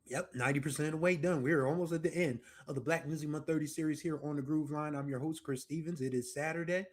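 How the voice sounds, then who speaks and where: A man's voice: fairly high pitch, fairly even intonation, quick pace. A man in his forties from the United States, hosting a music show and rambling through casual commentary with asides and self-corrections. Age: 40s. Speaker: music podcast host.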